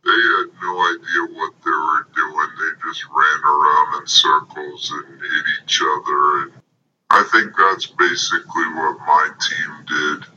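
Podcast sidekick guy, low slow voice, super sharp and forceful, grumbly old school announcer type. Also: level tone, lo-fi sound, slight background noise. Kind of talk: announcing and casual banter.

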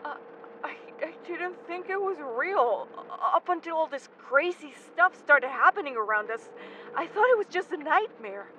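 An actor as a guilt-ridden young man in an audio drama, confessing something traumatic. The voice is high for a man and halting, with stammered starts. The sound is dull, with clear vehicle noise behind it. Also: lively intonation, pushed and bright. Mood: agitated, defensive. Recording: dull, noisy lo-fi recording.

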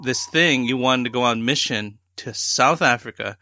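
A man's voice: mid-range voice, normal speed, sharp tone. A man hosting a podcast, chatting enthusiastically with his guest. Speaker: podcast host. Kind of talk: podcast interview.

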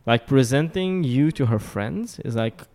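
A man's voice: mid-range voice, animated, a little slow, darkish tone. A man in his twenties, from Romania, chatting as an interview guest, thoughtful and often restarting to find the right words. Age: 20s. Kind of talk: casual interview chat.

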